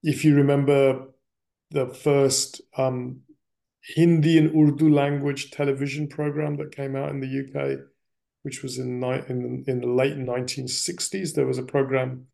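A middle-aged man speaks at an ordinary pitch with heavy vocal fry running through his voice. He talks as an interviewee reflecting on family history, in a casual, discursive way with halting phrases. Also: flat delivery, mellow. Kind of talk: reflective personal storytelling in casual conversation.

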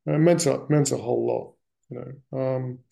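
A middle-aged man telling family history in a reflective, conversational interview style, often pausing and circling back mid-phrase. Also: mid-range voice, fairly even intonation, creaky, warm tone. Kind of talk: reflective personal storytelling in casual conversation.

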